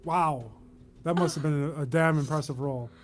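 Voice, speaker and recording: A man's voice: a little high, moderate pace, faint music behind. A man casually narrating a tabletop game as its game master.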